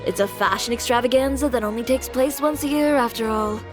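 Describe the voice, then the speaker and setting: A woman's voice: a little high, fairly quick, close to an everyday voice. An actress playing a confident, blunt young female boutique owner in a video game, with a cool, curt, self-assured manner. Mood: matter-of-fact. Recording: music behind.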